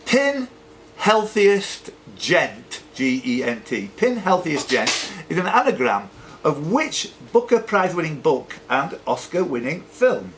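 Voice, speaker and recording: Bloke running a club quiz, lively up and down voice, jokey asides, bit of room noise behind him. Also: male voice, on the high side, slow. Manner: jokey, chatty asides between questions. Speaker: quiz host and question reader. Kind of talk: live pub-style quiz reading with off-the-cuff banter. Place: sports and social club room. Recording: noisy live room recording.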